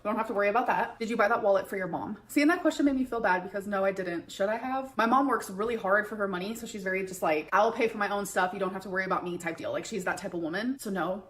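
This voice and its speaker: A woman's voice: medium pitch, fast, few pauses, fairly bright tone. A woman vlogger chatting casually to her viewers and answering their questions.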